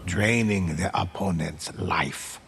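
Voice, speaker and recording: An actor playing a grave old male storyteller who recounts warrior legends for a video game, in a measured, solemn, dramatic and gravelly delivery. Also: deep voice, fairly bright tone, a little hiss or hum, full character voice. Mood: reverent, instructive. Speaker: narrator.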